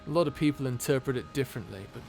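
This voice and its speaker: A man's voice: mid-range voice, rapid-fire, close to an everyday voice. A man playing a flirty, self-deprecating space pirate captain in an intimate audio roleplay, with a hesitant, nervously laughing manner. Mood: earnest, soft. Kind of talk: intimate ASMR roleplay acting. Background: music.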